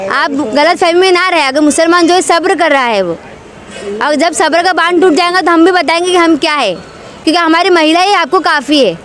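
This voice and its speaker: High-pitched, insistent woman making a political appeal. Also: slight background noise. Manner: insistent, admonishing, repetitive appeals. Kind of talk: impassioned unscripted political statement to camera.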